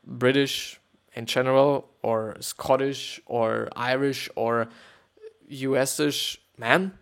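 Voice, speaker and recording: A man's voice: medium pitch, fairly even intonation, slow, vocal fry, clear, resonant tone. A man from Austria with a German accent, hosting a podcast in a hesitant, rambling way full of fillers and repeated words before he reads a story aloud. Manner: hesitant, repetitive, many fillers. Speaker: podcast host. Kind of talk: rambling casual monologue and reading aloud.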